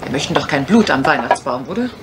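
A woman's voice: extremely low pitch, big pitch swings, quick pace. A woman playing a playful, confident young lady detective in a German radio crime drama. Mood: chiding, fond. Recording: noisy old radio play recording.

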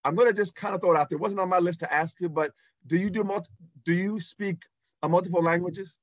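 A man's voice: high voice, steady tone, fast talker, dry recording. A middle-aged man hosting an interview over a video call, effusive and laughing, repeating his praise. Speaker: talk show host. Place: indoors in front of a backdrop.

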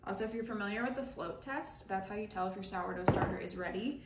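A woman's voice: mid-range voice, fast. A young woman hosting a casual home baking tutorial, talking through each step in a friendly, chatty way as she works in her kitchen. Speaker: home baker and channel host. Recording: echoey kitchen with faint noise.

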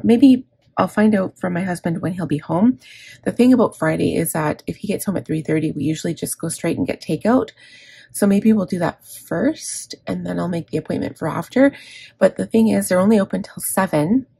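Woman, middle-aged planner vlogger, gravelly and husky throat, candid rambling chat with self-corrections. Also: on the low side, fairly quick, warm tone, dry recording. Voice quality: gravelly, scratchy. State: scratchy, gravelly throat from inhaler. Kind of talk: casual plan-with-me chat. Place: indoors at a desk.

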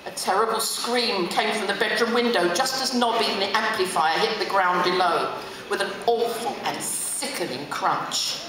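A woman's voice: medium pitch, moderate pace, crowd noise. A middle-aged woman with an English accent gives a theatrical, dramatic book reading aloud to an audience. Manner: expressive, theatrical. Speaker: reader. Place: large hotel function room, on stage. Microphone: stand mic at lectern through PA, filmed from audience. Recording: very echoey hall, audience noise.

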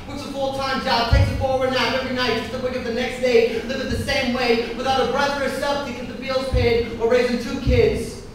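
A man's voice: very high-pitched, a bit bright, distant mic. A young man performing slam poetry with an impassioned, emphatic, rhythmic delivery. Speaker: slam poet. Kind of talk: spoken-word poetry performance. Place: indoor poetry slam venue. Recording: echoey room from afar, faint noise.

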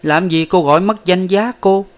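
A man's voice: sits high, moderate pace, voice pushed up, lightly acted. A man voicing a desperate, weak-willed young man in a storybook, pleading and whining.

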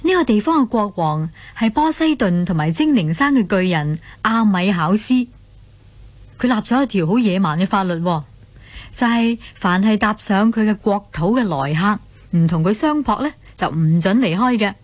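A woman's voice: medium pitch, animated, normal speed, dry recording. A woman with a Hong Kong accent, narrating a story dramatically as in a scripted radio drama.

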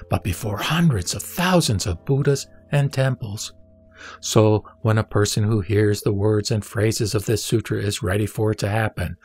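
A man playing the Buddha, a solemn spiritual teacher, reciting scripture in a measured audio drama delivery. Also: medium pitch, a little mellow. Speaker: scripture reader.

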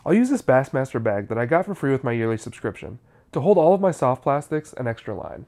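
A man's voice: medium pitch, very animated, fast, darkish tone. A man, narrating a how-to video in a scripted, explanatory style.

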